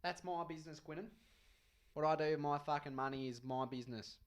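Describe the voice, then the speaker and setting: A man's voice: fairly high pitch, a little fast, lightly acted. A man voicing a curt, defensive middle-aged male guardian.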